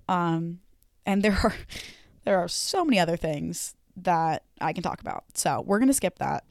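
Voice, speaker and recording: A woman's voice: fairly low pitch, creaky, darkish tone, no room sound, studio quality. Frank young woman hosting a solo podcast. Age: young adult. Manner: rambling, frank, swears casually, many ums. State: voice a bit hoarse. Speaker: podcast host. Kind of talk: casual solo podcast chat.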